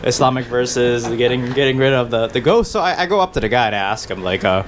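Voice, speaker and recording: A relaxed man, born in Malaysia, recounts a story in easygoing chat, often pausing on fillers and starting sentences over. His tone is dry and slightly ironic, with a mid-range voice. Faint noise hums in the background. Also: medium pitch. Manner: laid-back, many fillers and restarts, wry humor. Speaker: musician guest.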